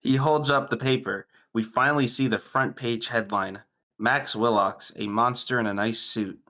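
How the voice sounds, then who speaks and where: A man giving scripted narration, reading screenplay stage directions in a measured, clipped way. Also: mid-range voice, steady tone, sharp tone.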